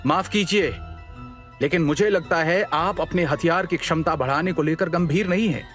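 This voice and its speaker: Male narrator as bitter young prince, sarcastic, argumentative, slightly high voice, audio drama, background music. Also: moderate pace, a bit bright, natural voice. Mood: bitter sarcasm. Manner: sarcastic, reasoning. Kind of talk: audio drama character acting.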